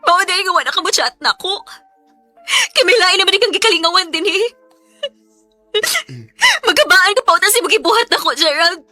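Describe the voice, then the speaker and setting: Radio drama role: emotional young Cebuano girlfriend, high-pitched and sharp, melodramatic. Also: animated, fairly quick, pauses often, faint music behind, heavily acted. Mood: hurt, accusing. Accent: Cebuano Filipino. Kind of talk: Bisaya radio drama acting.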